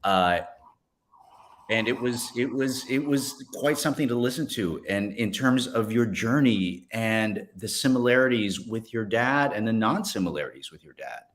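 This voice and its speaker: An American man hosting a casual, friendly interview, speaking haltingly and repeating words as he forms his questions. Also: on the low side, animated. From Ohio, United States. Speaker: interview host.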